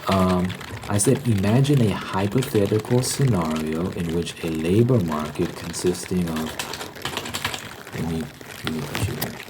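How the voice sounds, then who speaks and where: A man with a low voice talks through his thoughts as an ASMR rambler. He explains conversationally, stopping and restarting as he goes. The recording is noticeably noisy, with clicks, keyboard typing and crinkling. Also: moderate pace. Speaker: solo host of a rambling channel.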